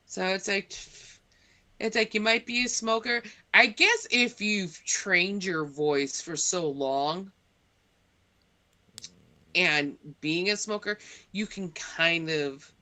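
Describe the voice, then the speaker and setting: A woman's voice: medium pitch, slow, bright, forceful tone. A woman hosting a casual podcast and stream, chiming in with short agreeable reactions. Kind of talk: casual chat.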